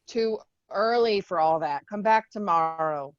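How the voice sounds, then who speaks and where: A woman's voice: on the low side, a little slow, no room sound, lo-fi sound, natural voice. A woman playing a guarded, stubborn, wounded young Marine veteran in a screenplay table read. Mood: gruff, resistant.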